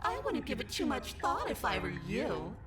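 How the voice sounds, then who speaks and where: An actress voicing a cruel, playful princess in a horror game, taunting and menacing. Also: female voice, sits high, wildly swooping pitch. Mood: taunting, threatening. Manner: slow, taunting, sing-song. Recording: loud music behind.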